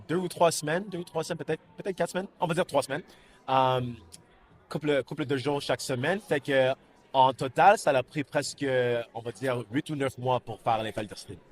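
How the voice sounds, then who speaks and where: A man with a Canadian French accent, an actor in a casual interview, speaking informally, repeating himself and slipping in English words. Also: mid-range voice. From Canada, born in Montreal and grew up in Winnipeg.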